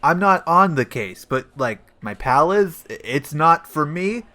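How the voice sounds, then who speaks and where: A man playing an awkward, joke-prone police detective in a comedic audio play, hesitant and deadpan as he rambles. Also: a little high, a little slow. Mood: flustered.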